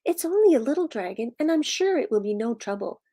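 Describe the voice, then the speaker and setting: A woman's voice: fairly high pitch, big pitch swings, brisk, mellow, voice pushed up. A woman voicing a caring seven-year-old boy in a storybook, earnest, pleading and emphatic.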